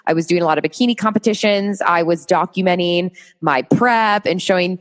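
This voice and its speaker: A woman's voice: fairly low pitch, fairly quick, in a large hall. Chatty woman with a Chicago accent. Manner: chatty, candid, laughs easily. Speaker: guest business coach. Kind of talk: casual interview.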